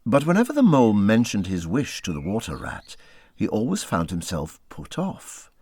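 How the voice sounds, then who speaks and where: A man reading a classic story aloud as an expressive audiobook narrator. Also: fairly low pitch, moderate pace, slightly rough, no room sound.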